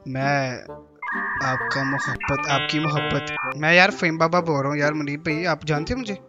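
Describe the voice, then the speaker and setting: A Pakistani man in his twenties speaks in a fairly high voice, casually talking someone round on a phone call. The sound is slightly dull, with loud music behind him. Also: steady tone, moderate pace. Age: 20s. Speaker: gaming streamer making prank calls. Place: indoor gaming room.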